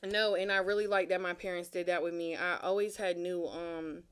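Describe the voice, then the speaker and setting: A young woman guest telling her life story in a relaxed, casual way, swearing now and then. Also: fairly low pitch, moderate pace, clear, resonant tone. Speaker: podcast guest. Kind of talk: casual chat interview.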